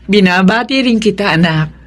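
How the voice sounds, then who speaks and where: A woman's voice: mid-range voice, normal speed, close to an everyday voice. An actress playing a devout, loving single mother in an earnest, heartfelt Filipino radio drama style. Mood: touched. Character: devout, loving, self-sacrificing single mother, tearful and grateful.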